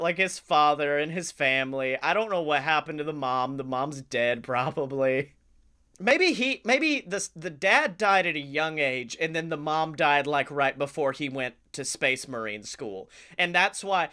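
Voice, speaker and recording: Bright, higher-pitched man, excitable podcast co-host. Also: smooth. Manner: excitable, profane. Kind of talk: casual comedic brainstorming chat.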